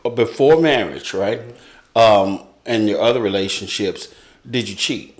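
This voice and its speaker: A middle-aged man in a casual panel discussion, speaking haltingly and repeating words for emphasis. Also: fairly low pitch.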